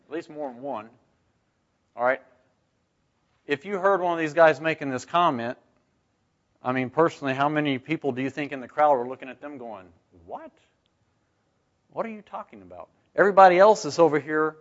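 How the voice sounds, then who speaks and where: A man with a fairly high voice leads an informal Bible study. He talks conversationally, often turning to the group with questions. The recording is a little dull and lo-fi. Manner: conversational, rhetorical questions, asks "right?". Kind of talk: informal scripture teaching to a group.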